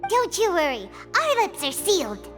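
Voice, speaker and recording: A woman voicing a small, perky, childlike fairy companion with squeaky, excitable delivery in a video game. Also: moderate pace, exaggerated voice. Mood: cheerful, eager. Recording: background game music.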